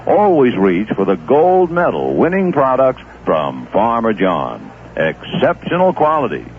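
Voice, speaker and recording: Animated male radio ad announcer, tinny sound. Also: mid-range voice, unhurried. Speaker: commercial announcer. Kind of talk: 1970s radio ad read, conversational pitch. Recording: thin, slightly noisy old radio recording.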